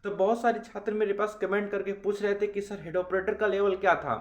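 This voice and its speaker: High-pitched man, echoey room. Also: steady tone, brisk. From India. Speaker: exam-prep coach. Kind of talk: informal exam analysis for students. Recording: roomy echo.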